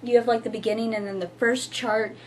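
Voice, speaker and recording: A hoarse young woman with a husky voice, chatting casually to camera as the host of a knitting show, with lots of fillers and little laughs. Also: a little high, steady tone, a little fast, slight background noise, poor audio. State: hoarse, losing her voice.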